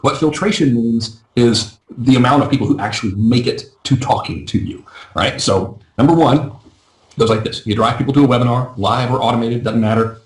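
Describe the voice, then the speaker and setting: A man coaching a live webinar in a chatty, self-deprecating way, his voice going a little hoarse. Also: medium pitch, roomy sound, rough recording. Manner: fast, chatty, self-deprecating, often asks "right?". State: losing his voice. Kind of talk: casual live webinar teaching.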